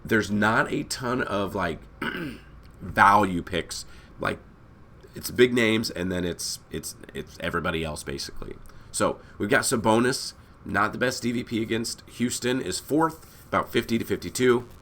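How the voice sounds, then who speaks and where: A hoarse man who is losing his voice, hosting a casual fantasy basketball breakdown that rambles and corrects itself as he talks to viewers. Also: fairly low pitch, unhurried, a bit bright. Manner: rambling, self-correcting, addresses viewers as guys. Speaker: fantasy sports analyst host. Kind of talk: casual screen-share breakdown.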